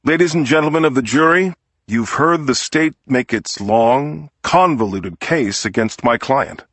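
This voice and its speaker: A man's voice: medium pitch, lively intonation, unhurried, fairly bright tone, no room sound. A man voicing an indignant, persuasive defense lawyer delivering an oratorical closing argument to a jury in an audiobook. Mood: scornful. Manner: oratorical, emphatic, scornful.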